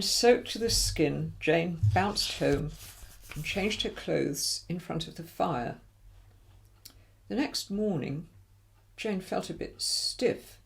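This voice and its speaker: A woman's voice: lowish voice, vocal fry. A woman reading a children's storybook aloud expressively, with dramatic emphasis for young listeners. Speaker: volunteer story reader.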